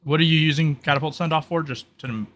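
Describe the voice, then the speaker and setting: A man's voice: on the high side, fast, fairly bright tone, slight background noise. A man acting as game master, explaining tabletop rules in a casual, loosely hedged way.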